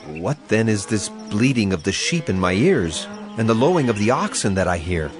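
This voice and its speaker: An actor playing a stern old prophet in a dramatized scripture reading, grave and declamatory. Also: male voice, lowish voice, very animated, moderate pace, faint music behind. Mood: stern, reproachful. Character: stern old male Hebrew prophet rebuking a king.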